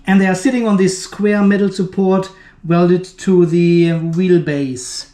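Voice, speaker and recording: High-pitched man reviewing in an echoey room. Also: fairly even intonation, slow pace, mellow. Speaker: video host and product reviewer. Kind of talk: unscripted hands-on product review commentary.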